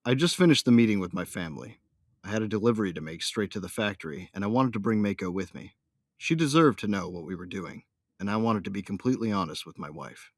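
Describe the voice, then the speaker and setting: A man's voice: fairly low pitch, expressive, fast, no echo, clean studio sound. A man playing a devoted young starship captain, narrating his own story in a science fiction audio drama. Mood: earnest, tender. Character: young newlywed starship captain, loyal son of smugglers, devoted husband. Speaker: first-person narrator and character.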